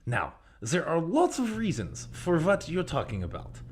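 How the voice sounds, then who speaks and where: Eccentric, high-voiced little old scholar. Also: male voice, wildly swooping pitch, normal speed, a little hiss or hum. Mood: genial, musing. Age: older. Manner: erudite, musing, theatrical. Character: eccentric little old man, genial paranormal enthusiast. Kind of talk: audio drama acting.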